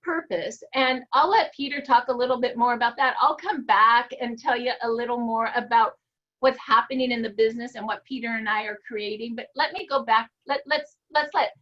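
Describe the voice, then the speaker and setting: A woman's voice: fairly high pitch, brisk, dull audio. A woman giving an earnest, emphatic personal testimonial in casual talk. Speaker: network marketing guest.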